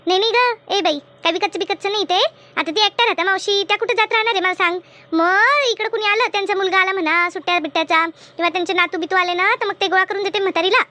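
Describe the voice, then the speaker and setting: A woman voicing a bossy, brisk village woman who leads her friends in a comedy cartoon, emphatic and scolding. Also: extremely high pitch, pushed and bright. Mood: explaining. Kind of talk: colloquial comedy cartoon dialogue.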